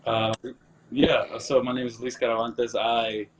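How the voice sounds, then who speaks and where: Hesitant, flat-toned young man. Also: medium pitch, a little slow, background noise. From El Salvador. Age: young adult. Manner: hesitant, unsure. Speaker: panel guest, accountant. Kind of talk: casual self-introduction. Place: bare room, indoors. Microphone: video call.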